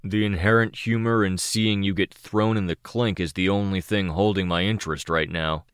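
A reader voicing a sneering, cruel teen boy with a slow, contemptuous drawl, in an audiobook. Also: low voice, steady tone, smooth, no echo, clean studio sound, natural voice. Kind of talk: audiobook character voice.

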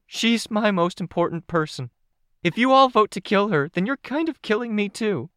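Guy doing a teen girl, way up high, voice cracking as she begs, dry close sound. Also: expressive, normal speed, a bit bright, clear, resonant tone, no echo. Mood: pleading, upset. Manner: pleading with emotional hitch. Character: distraught teenage girl, pleading, emotional.

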